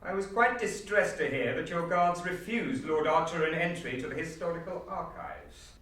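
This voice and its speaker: A man's voice: on the high side, normal speed, a bit bright, voice pushed up, close to an everyday voice. A man auditioning as a grandiose, scheming Time Lord with pompous theatrical declaiming. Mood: scornful. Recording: very echoey room, distant mic.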